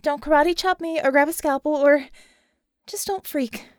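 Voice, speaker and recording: A woman as a high-voiced, nervous, wry female magician. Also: normal speed, a little mellow, no room sound, clean studio sound, close to an everyday voice. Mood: anxious, insistent. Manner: hesitant, rambling, wry. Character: nervous, earnest young woman techno-magician, friendly and dry.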